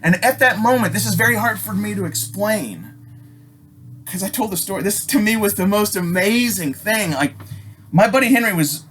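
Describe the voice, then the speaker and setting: Higher-voiced American man swearing through a story. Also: animated, normal speed, fairly bright tone, slight background noise. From Alaska, United States. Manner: profanity-heavy, emphatic, with abrupt stops and repeats. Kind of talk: casual personal storytelling, rambling.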